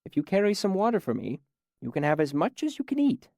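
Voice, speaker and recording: A man's voice: sits high, huge pitch swings, fast, gravelly, darkish tone, no echo, studio quality, pitched above the performer's own voice, full character voice. A man voicing an old caretaker whose voice is tired around the edges but patient at its center. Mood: gentle.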